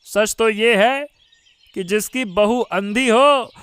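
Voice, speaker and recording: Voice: mid-range voice, slow pace, a bit bright, clean tone, no room sound. An actor voicing a mocking, scheming royal courtier in a cartoon story, sneering and theatrical. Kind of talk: Hindi cartoon story voice acting.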